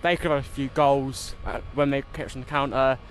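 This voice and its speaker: A man's voice: medium pitch, fairly even intonation, fairly quick. A young man with an English accent giving a plain, matter-of-fact fan summary of a match outdoors. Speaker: football fan. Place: outside a stadium by a brick wall. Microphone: handheld phone held out. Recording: faint outdoor background noise.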